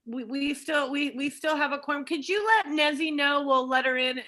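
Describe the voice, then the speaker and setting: A woman's voice: sits high, normal speed, bright, forceful tone. A woman chairing a public meeting informally over a video call.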